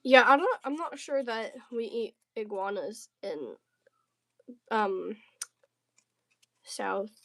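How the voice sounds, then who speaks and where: Higher-pitched woman, playful livestream chatter. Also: slow pace, pauses often. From southern United States. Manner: playful, slangy, jokey banter with chat. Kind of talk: casual livestream chat while crafting.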